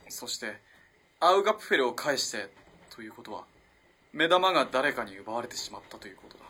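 Casting: male actor as lovestruck young student for a period radio drama; bright, slightly rough voice, earnest and halting. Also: medium pitch, sing-song, exaggerated voice. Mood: earnest, reasoning. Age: young adult. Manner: earnest, halting, swinging between hushed and impassioned. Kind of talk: dramatic radio play acting.

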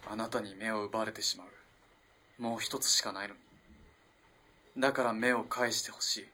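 An actor playing an earnest, lovestruck young student in an early twentieth-century Japanese radio play, halting and moving between hushed and impassioned delivery. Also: male voice, on the low side, fairly even intonation, a little hiss or hum. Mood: hushed, tender. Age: young adult. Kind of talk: dramatic radio play acting.

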